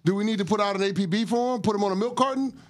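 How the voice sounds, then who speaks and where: High-voiced blunt male sports analyst. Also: very fast. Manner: emphatic, blunt, jokey. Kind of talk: studio sports debate. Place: TV studio. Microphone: desk boom mic.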